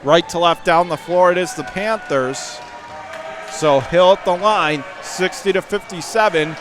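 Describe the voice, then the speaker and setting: A man calling live basketball play-by-play for radio in clipped, urgent phrases that rise into excited calls. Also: high voice, measured pace. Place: indoor high school basketball gym. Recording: game crowd and gym noise behind.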